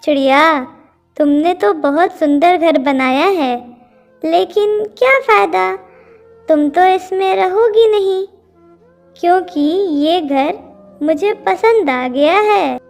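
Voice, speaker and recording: Greedy cartoon she-cat, woman performer: shrill-high pitch, gloating menace, takes her time over each threat. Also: slow, vocal fry, soft background music, full character voice. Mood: menacing. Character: greedy, bullying female cat who seizes birds' homes. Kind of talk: Hindi cartoon story dubbing.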